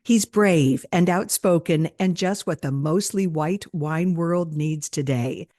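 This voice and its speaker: A woman's voice: lowish voice, takes it slowly, mellow, dry recording, clean studio sound. A woman co-hosting a podcast, reading a scripted welcome and then asking her guest questions. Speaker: podcast co-host.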